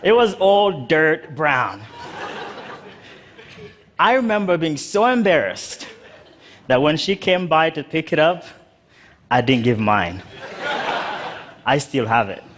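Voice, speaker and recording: A man from Uganda telling his life story to an audience in a humorous, animated way. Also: slow, pauses often, a little hiss or hum. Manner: humorous storytelling with lively pitch swings.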